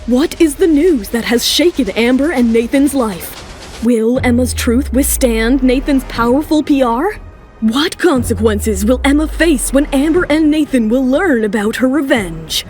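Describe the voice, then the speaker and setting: High-pitched woman narrating a romance serial. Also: measured pace, a little mellow. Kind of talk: dramatic scripted narration of a romance audio serial. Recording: music bed under the voice.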